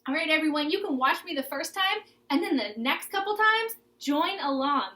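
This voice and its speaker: A woman's voice: high voice, lively intonation, moderate pace, bright, forceful tone. A young woman teaching a children's lesson in a simple, instructive way that invites listeners to join in. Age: young adult. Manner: simple, instructive, encouraging participation. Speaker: children's garden class teacher. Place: living room at home, indoors.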